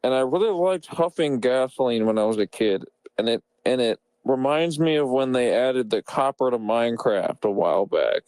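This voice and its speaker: A man's voice: mid-range voice, expressive, moderate pace, few pauses, darkish tone, no room sound, poor audio. A young man on a podcast improvising absurd comic memories, earnest and repetitive in simple sentences. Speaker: podcast member. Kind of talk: improvised deadpan comedy storytelling.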